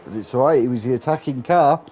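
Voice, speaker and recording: Man, stuffy nose, hay fever, mellow dark voice, brisk race play-by-play. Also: medium pitch, slight background noise. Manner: brisk play-by-play, rising with overtakes. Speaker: lead race commentator. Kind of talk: sim racing play-by-play commentary.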